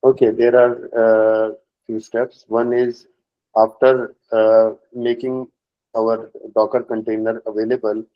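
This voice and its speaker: A man explaining software deployment steps in a workshop, pausing often with fillers. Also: fairly low pitch, flat delivery, muffled recording. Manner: hesitant, many filler pauses. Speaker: workshop instructor.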